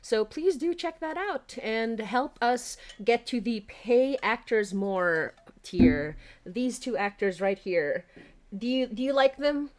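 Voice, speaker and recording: Giggly, excitable woman chatting on a livestream. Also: fairly high pitch, slow pace. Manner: giggly, excitable, repeats phrases for emphasis. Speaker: podcast creator and stream host. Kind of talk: casual livestream chat.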